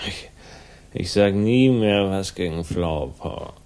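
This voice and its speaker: An actor playing a battered, wry crime-story hero in a dry, terse, ironic manner, in 1960s radio drama style. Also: male voice, low voice, unhurried, darkish tone, clean tone.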